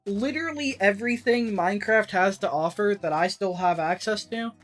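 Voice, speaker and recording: A streamer chatting casually through a let's-play, rambling with lots of filler words and getting a little raspy. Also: mid-range voice, moderate pace, faint music behind. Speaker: streamer playing an old game version.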